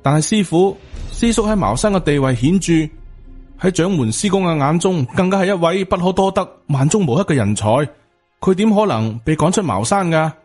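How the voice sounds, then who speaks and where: An earnest young Taoist disciple, acted by a male narrator, deferential and pleading. The voice is pitched up and husky, with lively swings. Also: fairly quick, faint music behind. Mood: earnest, worried.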